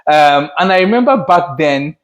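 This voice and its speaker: A man from Nigeria with a Nigerian accent, co-hosting a family channel in a relaxed chat and repeating words as he starts a thought. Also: fairly high pitch, moderate pace. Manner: chatty, laughs easily, repeats words when starting a thought. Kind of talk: casual chat.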